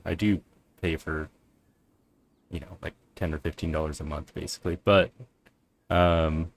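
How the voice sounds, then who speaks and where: A man's voice: deep voice, vocal fry, a little hiss or hum. A man doing casual game commentary as a streamer, chatting in an informal, rambling way. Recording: faint game music behind.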